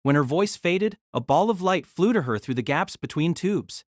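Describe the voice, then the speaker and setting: Animated woman narrating a novel. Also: lowish voice, normal speed, no echo, studio quality.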